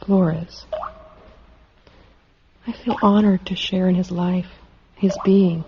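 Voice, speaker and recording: A woman's voice: fairly low pitch, fairly even intonation, slow, a little hiss or hum. An actress playing an earnest, dedicated woman psychologist in a dystopian radio drama. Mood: reflective, tender. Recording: dull vintage radio recording, music behind.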